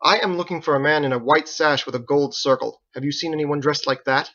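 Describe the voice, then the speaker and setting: A man's voice: a little high, brisk, pushed and bright, highs cut off. A man voicing a stern, commanding woman who speaks in clipped, formal demands in an audiobook. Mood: insistent. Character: stern, commanding woman healer interrogating a witness.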